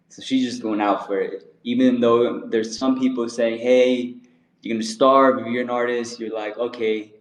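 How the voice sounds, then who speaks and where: A man hosting a casual interview, laid-back and slangy, with plenty of fillers. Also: mid-range voice. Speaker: podcast host. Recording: roomy echo.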